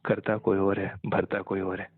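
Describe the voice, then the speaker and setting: A young man speaks in a low, husky voice with very little melody. He sounds halting and resigned, as in a private conversation over a narrow phone line. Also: monotone. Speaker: caller talking with an ex-partner. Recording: muffled narrow-band phone call, echoey.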